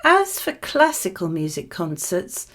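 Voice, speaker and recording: A woman tutor reads out a scripted exam answer. Her voice sits a little low, and she speaks very slowly and deliberately, keeping a measured delivery. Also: big pitch swings, fairly bright tone. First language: English. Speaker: language tutor reading model exam answers. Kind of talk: scripted spoken model answer.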